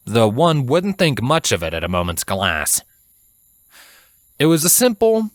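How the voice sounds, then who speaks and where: Bright, low-voiced man narrating fantasy. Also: no echo, clean studio sound. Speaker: fan fiction narrator. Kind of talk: dramatic scripted narration.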